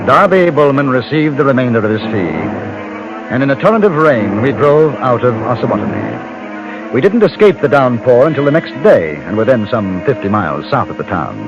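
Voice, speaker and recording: Composed gentleman narrator, muffled old radio. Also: medium pitch, big pitch swings, music in the background. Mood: measured, wry. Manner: measured, literate, dryly understated. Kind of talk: 1950s radio western acting and narration.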